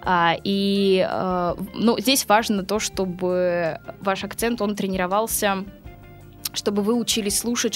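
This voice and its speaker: A woman who teaches English, answering interview questions in a relaxed, chatty way, laughing often and filling pauses with hesitation sounds. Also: lowish voice. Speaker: English teacher and school co-owner.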